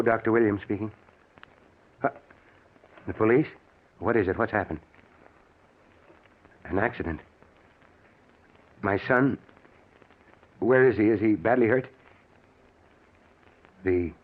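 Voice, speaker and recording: An actor playing a stern, rational middle-aged doctor and protective husband, with commanding, dramatic old-time radio delivery. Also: male voice, lowish voice, slow pace, pauses often, phone-quality sound. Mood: shaken, grave. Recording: thin, band-limited old radio recording.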